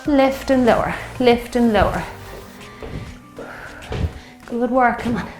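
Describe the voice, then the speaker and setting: A slightly hoarse woman in her fifties leads a strength class, calling out moves and counting reps as she exercises along. Her voice rings in a roomy space with faint music underneath. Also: on the high side, takes it slowly. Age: 50s. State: slightly hoarse from a sore throat. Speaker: fitness instructor. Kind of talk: guided workout instruction.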